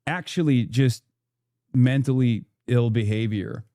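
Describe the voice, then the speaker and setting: A man giving casual commentary in measured, slightly hesitant phrases. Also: mid-range voice, mellow, no room sound, studio quality.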